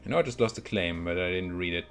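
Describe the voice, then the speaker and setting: A man's voice: sits low, fast, vocal fry, a bit bright, soft background music. A man giving casual let's play commentary on a strategy game, thinking aloud and muttering to himself as he goes. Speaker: video game streamer playing a strategy game.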